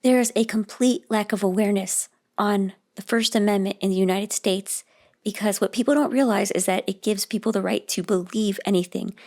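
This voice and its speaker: A woman narrating a vlog in an earnest, steady way, her voice raspy and hoarse from losing it. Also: medium pitch, brisk. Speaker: video host.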